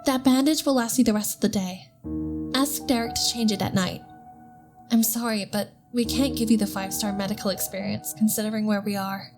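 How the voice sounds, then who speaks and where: A woman's voice: medium pitch, brisk, music in the background, lightly acted. A woman playing an earnest, caring but shaken spacecraft crew member in an audio drama. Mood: firm, caring.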